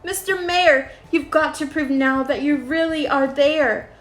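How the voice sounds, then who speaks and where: A woman reading a children's storybook as a gentle, loyal male elephant, earnest and imploring. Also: high voice, measured pace. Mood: urgent pleading. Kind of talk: children's storybook read-aloud.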